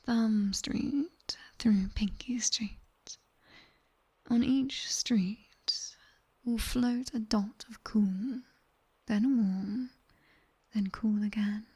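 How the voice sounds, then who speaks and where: Woman as a breathy, whispering British demoness tutor. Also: fairly high pitch, warm tone, full character voice. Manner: slow, commanding, dryly teasing. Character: sharp-tongued nightmare demoness tutor, female, teasingly strict. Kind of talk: ASMR roleplay, guided body scan.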